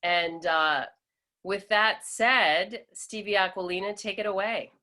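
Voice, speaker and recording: A woman's voice: medium pitch, fairly even intonation, takes it slowly, bright, forceful tone. A woman from Florida hosting a webinar over a video call, upbeat and welcoming. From Florida, USA. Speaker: webinar host.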